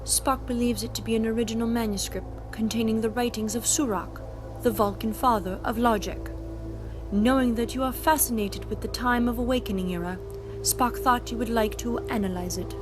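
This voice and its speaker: A woman's voice: on the high side, fairly even intonation, normal speed, music in the background, natural voice. A woman playing a devoted, earnest Romulan follower of logic, with formal, careful phrasing in a sci-fi audio drama.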